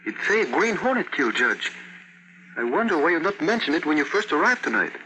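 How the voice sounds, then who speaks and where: An actor playing a deferential Filipino valet in an old-time radio drama, speaking clipped broken English with a Filipino accent. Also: male voice, a little high, big pitch swings, a little fast. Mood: curious, deferential. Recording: dull, noisy old radio recording.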